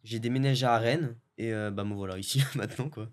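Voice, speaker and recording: A man's voice: mid-range voice, a little fast. Young man, laid-back slangy chat. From French Guiana. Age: young adult. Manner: relaxed, slangy, many fillers and restarts. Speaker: video editor guest. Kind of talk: casual interview chat.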